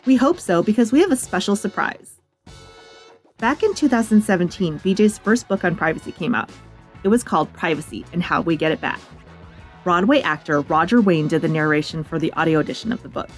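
Female American podcast host, slightly low voice, very varied intonation, playful scripted narration, chatty and direct. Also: expressive, a little fast, soft background music. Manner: chatty, direct address to listeners.

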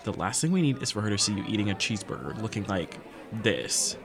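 A man playing a cheeky, excitable teenage boy with a theatrical, teasing manner in a fiction podcast. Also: lowish voice, fast, creaky, crowd noise. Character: excitable, cheeky teenage boy, loud loyal best friend. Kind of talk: audio fiction podcast acting.

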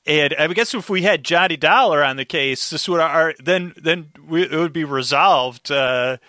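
A man guesting on a podcast talks casually and wryly, dropping into mock dialogue. His voice is on the higher side and bright, with a dry recording. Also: normal speed, no room sound. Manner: wry, slips into mock dialogue. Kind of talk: casual chat.

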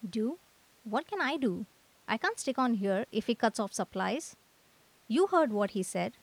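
A woman's voice: fairly high pitch, lively intonation, measured pace, dry recording. Woman as a plaintive young painter, dry recording. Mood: despairing. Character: earnest, put-upon, despairing young male painter. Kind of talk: comic radio drama acting.

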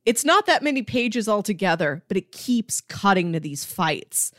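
Female co-host, casual and opinionated chat, medium pitch, dry recording. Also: sing-song, moderate pace, fairly bright tone, dry recording. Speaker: podcast co-host discussing comics. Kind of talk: casual book discussion chat.

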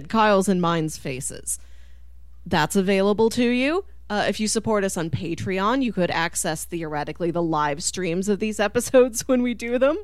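A woman hosting a podcast, chatting casually about film in an animated, expressive way. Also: lowish voice, dry recording. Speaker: podcast host.

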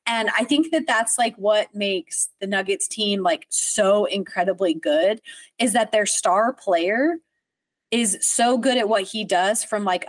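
A woman co-hosting a casual sports podcast, chatty and quick to laugh, saying "like" often. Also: mid-range voice, no echo. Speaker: podcast co-host.

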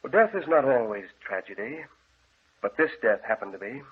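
An actor playing a grave, controlling gentleman in a 1940s radio horror drama, speaking with formal, solemn command and a husky voice. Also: medium pitch, sing-song, normal speed, close to an everyday voice. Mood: solemn, sombre. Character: grave, controlling gentleman guarding his deranged brother. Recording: tinny, band-limited old radio recording.

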